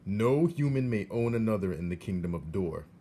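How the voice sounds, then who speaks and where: A man voicing a dutiful soldier of the king who speaks in a loud, formal, measured tone. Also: lowish voice, animated, slight background noise, close to an everyday voice. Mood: official.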